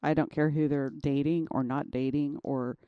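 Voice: mid-range voice, fairly even intonation, normal speed, smooth, dry recording, phone-quality sound. A podcast co-host in casual chat, blunt and skeptical.

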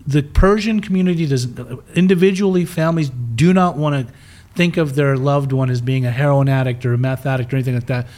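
A man's voice: mid-range voice, fairly even intonation, fast talker, a little mellow. A middle-aged man who grew up in the United States and first spoke German, telling his life story as a podcast guest in an open, rambling way. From United States (raised in Utah). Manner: open, rambling, restarts words. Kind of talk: candid storytelling interview.

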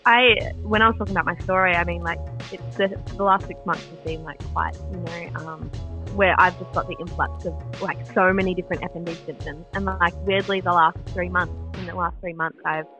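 A woman's voice: on the low side, fairly even intonation, fairly quick, pushed and bright, faint music behind, rough recording. A young woman hosting a casual podcast chat, rambling with lots of fillers. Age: young adult. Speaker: podcast host.

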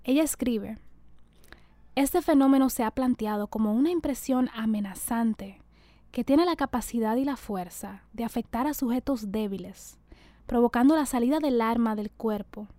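A woman hosting a podcast who narrates and interviews a guest in a calm, measured way. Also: fairly high pitch, no echo. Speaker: podcast host.

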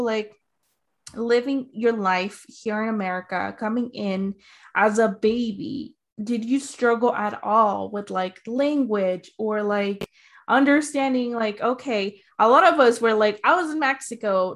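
A woman's voice: fairly high pitch, measured pace. Enthusiastic woman hosting an interview. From Arizona, US. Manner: enthusiastic, gushing. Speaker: podcast host. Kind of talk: casual interview.

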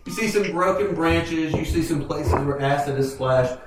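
Male GM, relaxed and chuckly; slightly high voice, echoey room, far from mic. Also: moderate pace, background noise. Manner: easygoing, chuckling, restarts phrases. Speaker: game master. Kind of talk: narrating a tabletop game.

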